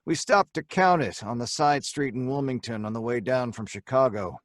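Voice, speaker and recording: A man's voice: mid-range voice, normal speed, no echo, close to an everyday voice. Dry, close-sounding male crook narrator, played by an actor. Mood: matter-of-fact. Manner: laconic, plain-spoken storytelling. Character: cool, terse male criminal narrating his story. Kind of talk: first-person hardboiled radio drama narration.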